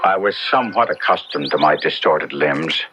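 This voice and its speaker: A man's voice: rumbling low, lively intonation, normal speed. A man acting a tormented young Italian nobleman trapped in a monster's body, narrating a 1970s radio horror drama in florid, theatrical diction. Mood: brooding recollection. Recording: dull vintage radio recording with loud music.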